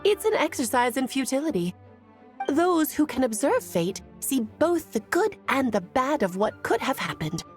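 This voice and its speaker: Poised, mischievous elegant mage. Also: female voice, sits high, big pitch swings, moderate pace, soft background music. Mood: gracious. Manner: poised, gracious, lightly mischievous. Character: elegant, playful female mage, wise and teasing. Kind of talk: video game voice acting.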